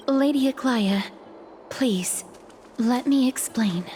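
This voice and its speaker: An actress playing a gentle but determined young woman who speaks in an earnest, measured way. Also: fairly high pitch, slight background noise. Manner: soft-spoken, earnest and measured. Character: gentle, resolute young woman on a perilous errand.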